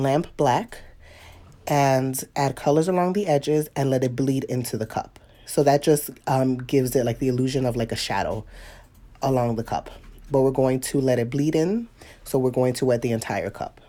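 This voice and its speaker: A woman's voice: extremely low pitch, dark tone. A woman with a stuffy, sniffly nose giving a casual live painting tutorial, chatty and laughing often as she greets viewers. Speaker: watercolor painting instructor.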